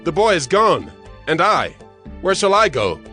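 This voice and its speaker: An actor playing a protective elder brother in a dramatised Bible reading. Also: male voice, fairly high pitch, wildly swooping pitch, unhurried, sharp tone, faint music behind, full character voice. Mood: distraught. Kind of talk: Bible audio drama acting.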